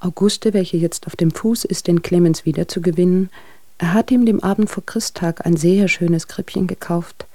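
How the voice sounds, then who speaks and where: An actress playing a wry, gossipy friend who reports on a couple in letters, dry and observant, in a radio drama. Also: female voice, fairly low pitch, steady tone, normal speed, warm tone, no room sound.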